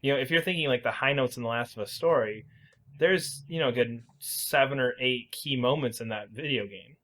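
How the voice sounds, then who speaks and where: A man's voice: medium pitch, fairly quick, fairly bright tone. A man co-hosting a podcast, arguing his opinions in casual, conversational speech. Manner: opinionated, argumentative. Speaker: podcast co-host.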